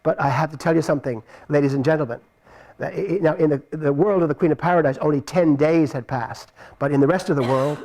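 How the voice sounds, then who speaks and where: A man's voice: a little high, fast, slight background noise. Playful storyteller man. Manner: lively, playful, addresses the audience directly. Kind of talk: live folk tale storytelling for an audience. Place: outdoor marketplace performance.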